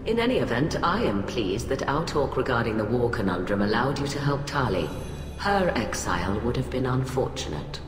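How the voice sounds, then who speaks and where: An actress playing a cool, calculating alien admiral in a video game, speaking in a measured, formal way. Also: female voice, extremely low pitch, big pitch swings. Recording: echoey hall, music behind.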